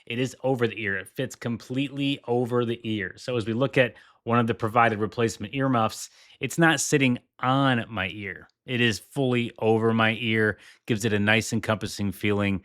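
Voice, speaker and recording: A man's voice: medium pitch, normal speed, hardly any pauses, a bit bright. A man hosting a tech video, walking through a product demo with enthusiasm and repeating his points for emphasis. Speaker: tech reviewer.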